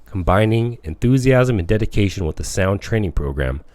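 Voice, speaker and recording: Deep-voiced man reading a self-help audiobook. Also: animated, normal speed, darkish tone, no room sound. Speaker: audiobook reader.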